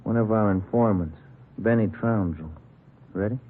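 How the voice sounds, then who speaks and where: An actor playing a practical, serious police detective partner with an American accent, talking in brisk short phrases in a 1950s radio drama. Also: male voice, fairly low pitch, lightly acted. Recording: muffled, noisy old radio recording.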